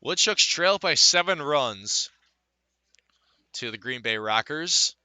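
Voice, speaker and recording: A man's voice: a little high, expressive, slow, pushed and bright, dry recording. A man calling a baseball game as a live radio play-by-play announcer.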